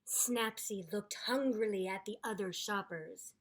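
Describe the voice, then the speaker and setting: A woman reading aloud to children in an expressive storytelling style, with deliberate, dramatic emphasis. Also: medium pitch. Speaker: storybook reader.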